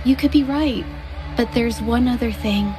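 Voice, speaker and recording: A woman's voice: a little high, normal speed, lightly acted. A woman playing a shy, easily flustered young painter in a video game, hesitant and stammering. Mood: hesitant, concerned. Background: game music.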